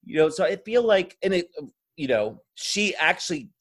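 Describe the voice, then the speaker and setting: A man's voice: sits high, sing-song, normal speed, a bit bright. A man speaking as an interview guest in a casual podcast conversation, thinking aloud in a discursive way with frequent filler phrases. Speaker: interview guest, drag performer.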